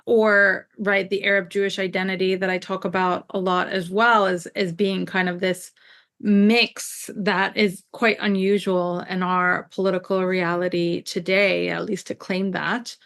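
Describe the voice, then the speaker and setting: A woman's voice: medium pitch, little pitch movement, a little slow, gravelly, a bit bright. A woman from Jerusalem who moved to the US at ten, a native Hebrew speaker, talking reflectively and explaining her ideas as an interview guest. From born in Jerusalem, moved to the US at ten. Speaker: podcast guest, scholar and artist.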